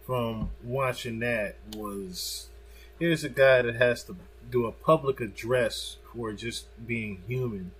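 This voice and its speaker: Man, mid pitch, slightly rough husky tone, casual reaction commentary, emphatic, repetitive phrasing. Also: a bit bright, poor audio.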